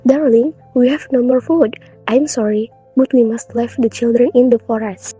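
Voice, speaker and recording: A woman's voice: fairly high pitch, moderate pace, dark tone, husky, soft background music. A woman voicing a harsh, cold-hearted stepmother in a storybook fairy tale, speaking curtly and scoldingly. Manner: curt, scolding.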